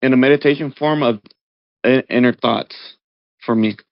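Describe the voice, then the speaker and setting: A man's voice: mid-range voice, moderate pace, no room sound. A man playing a tabletop role-playing game, answering casually in brief, understated phrases. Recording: dull lo-fi audio.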